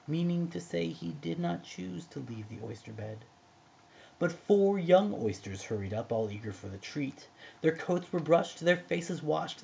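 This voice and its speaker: A man reading a narrative poem aloud as an expressive amateur storyteller. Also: medium pitch, vocal fry, lo-fi sound, a little hiss or hum. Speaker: amateur poetry reader.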